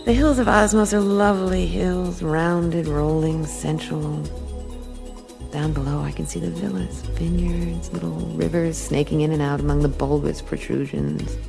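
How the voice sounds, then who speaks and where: An actress playing a wry, worldly galactic detective, narrating a noir radio drama in a deadpan, musing manner. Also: female voice, low-pitched, creaky. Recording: music bed under the voice.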